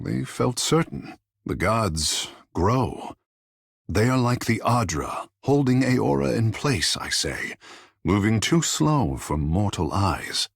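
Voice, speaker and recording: A man's voice: low voice, gravelly, husky, no room sound, studio quality, full character voice. An actor playing a proud, playful young godlike fish-man artist in a video game, speaking in lyrical, theatrical, flowery phrases.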